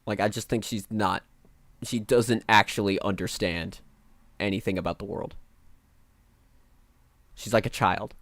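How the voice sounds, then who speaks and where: A man's voice: lowish voice, measured pace, long pauses, fairly bright tone, no room sound. A man with a nasal voice giving blunt, sarcastic and profane live reaction commentary as a streamer.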